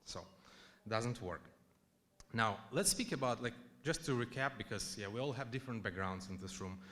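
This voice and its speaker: A man's voice: medium pitch, moderate pace, fairly bright tone. A man from Ukraine giving a conference talk, chatty and loose, full of fillers and restarts. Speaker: conference speaker. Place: conference hall.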